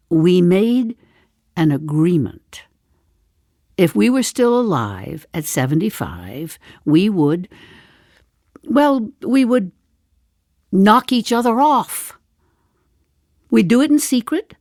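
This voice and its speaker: An elderly woman in a darkly comic radio monologue. She is wry, suspicious and confiding, speaking slowly in a lowish voice that rises and falls expressively, recorded very dry and close. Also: no room sound, exaggerated voice. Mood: animated, reminiscing. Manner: deliberate, confiding, with dry understatement. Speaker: actor in a one-woman play. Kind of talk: theatrical audio drama monologue.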